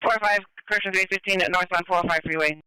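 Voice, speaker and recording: A man's voice: sits high, fairly even intonation, racing, bright, forceful tone, smooth, dry recording, rough recording. A man working as a police radio dispatcher, relaying pursuit updates in a clipped, procedural way over a scanner. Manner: clipped, procedural, repeating reports. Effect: police radio transmission.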